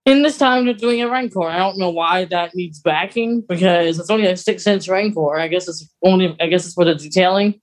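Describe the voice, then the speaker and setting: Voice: medium pitch, a little fast, hardly any pauses, rough recording. Casual podcast co-host with a middle-range voice. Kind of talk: casual chat about fan news.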